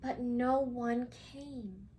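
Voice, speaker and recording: A woman reading a picture book aloud for children, expressively and with dramatic pauses, speaking directly to her young listeners. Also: fairly high pitch, dark tone, a little hiss or hum, low-quality recording. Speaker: children's story reader.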